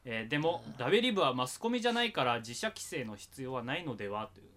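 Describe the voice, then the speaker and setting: A man's voice: medium pitch, sing-song, quick pace, a bit bright. A man hosting a casual, comedic podcast and reading out listener mail.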